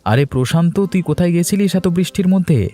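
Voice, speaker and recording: A harried cartoon husband played by a man, fast-talking and rather high, with a soft mellow tone. He sounds earnest and resigned. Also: animated. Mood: friendly. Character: hard-up, harried young husband, henpecked but dutiful. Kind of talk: Bengali moral cartoon dubbing.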